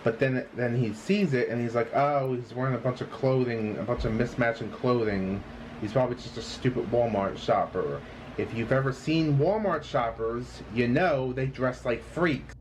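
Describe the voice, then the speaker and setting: A man's voice: mid-range voice, normal speed, a little hiss or hum, rough recording. A man commentator explaining in a lecturing, matter-of-fact way.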